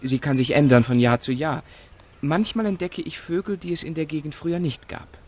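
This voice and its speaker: A man's voice: on the high side, fairly even intonation, a little fast, a little hiss or hum, natural voice. A man playing an amateur birdwatcher in a radio crime drama, giving precise, evasive answers. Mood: measured, evasive.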